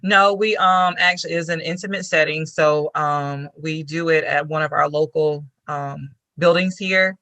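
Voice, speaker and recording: Hesitant woman guest, bright voice. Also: low-pitched, steady tone, no echo. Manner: hesitant, many pauses with um. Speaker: guest who leads a women's group. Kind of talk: conversational answers.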